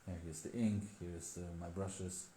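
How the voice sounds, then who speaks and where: Deep-voiced man chatting in an echoey room. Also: steady tone, mellow. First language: not English. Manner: halting, filler-heavy, laughs often. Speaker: Daoist teacher. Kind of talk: casual livestream chat. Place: indoor room with tea table. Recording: echoey room, faint noise.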